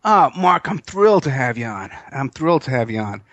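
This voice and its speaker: A man's voice: mid-range voice, big pitch swings, a little fast, fairly bright tone, highs cut off. A man in his fifties hosting a live radio interview show, talking casually with lots of fillers and restarts. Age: 50s. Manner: casual, rambling, many fillers.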